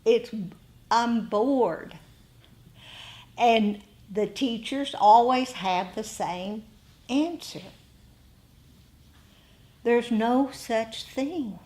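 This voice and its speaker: An American woman giving an informal dharma talk to a group as a meditation teacher, conversational, with frequent pauses between short phrases. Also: on the high side, a little mellow.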